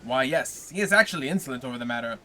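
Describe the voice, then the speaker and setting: A man reading aloud plays a blustering, envious neighbor from a storybook, all gossip and grudges. His voice comes out bright and edgy as he complains. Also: mid-range voice, expressive, fast talker. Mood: indignant.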